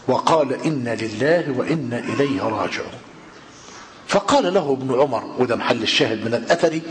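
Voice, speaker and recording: An older man with an Egyptian Arabic accent, giving a religious lesson in a hall and questioning his listeners, slowing down when he quotes classical texts. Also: fairly high pitch. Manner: lively rhetorical questioning of the audience. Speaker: preacher. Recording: reverberant hall with faint noise.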